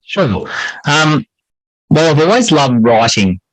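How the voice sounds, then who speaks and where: A man's voice: a little high, sing-song, slow, no echo, poor audio. Middle-aged man, dry close sound. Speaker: podcast guest, English teacher and writer. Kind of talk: conversational interview answers.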